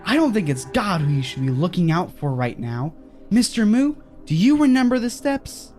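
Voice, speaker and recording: A woman's voice: on the low side, very animated, normal speed, darkish tone, faint music behind. A woman playing a scheming, upbeat assistant and kids' show host in a horror comedy audio drama. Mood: cheerfully sinister.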